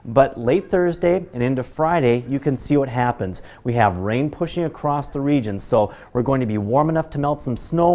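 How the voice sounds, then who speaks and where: A man's voice: mid-range voice, darkish tone. A man with an American accent presenting a weather forecast on television in an informal, explanatory way. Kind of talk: live broadcast weather forecast, conversational.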